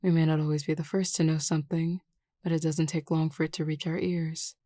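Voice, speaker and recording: A flirty, self-assured werewolf woman played by a voice actress in an audio roleplay. Her voice is low and mellow, recorded dry and close, and she teases with casual, unhurried confidence. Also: little pitch movement, no room sound, lightly acted. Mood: relaxed, assured. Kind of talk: scripted audio roleplay acting.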